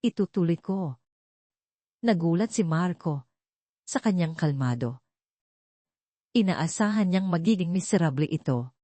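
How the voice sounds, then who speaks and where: Female storyteller, low-pitched and soft-toned, dry close sound, scripted drama narration. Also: animated, measured pace, pauses often, no echo.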